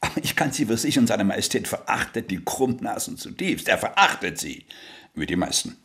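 An actor playing a cynical, bigoted Prussian official in a German radio play, lecturing in a condescending, sneering way. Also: male voice, lowish voice, big pitch swings, brisk, bright, forceful tone, exaggerated voice. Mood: pompous, sneering.

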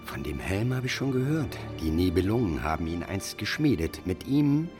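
An actor playing a cunning, covetous court schemer in a fantasy radio drama, speaking in an insinuating, probing way. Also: male voice, deep voice, big pitch swings, normal speed, over music.

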